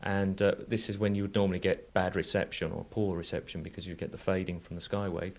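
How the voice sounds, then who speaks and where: A man's voice: low voice, flat delivery, fast. A man speaking as an engineer in an interview, with careful, slightly hesitant answers. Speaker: engineer guest.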